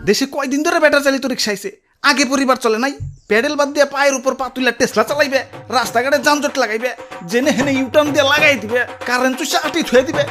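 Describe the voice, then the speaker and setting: A woman with a Bangladeshi accent voicing an exasperated motorbike rider in a comic cartoon, scolding and complaining over music. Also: high-pitched. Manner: rapid scolding, complaining, colloquial. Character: exasperated, ranting motorbike rider in city traffic. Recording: loud music behind the voice.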